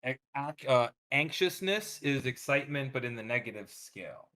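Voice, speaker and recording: A man hosting a live coaching call, talking casually and acting out thoughts with sudden loud bursts. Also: mid-range voice, moderate pace. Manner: energetic, acts out his own thoughts in a loud excited voice.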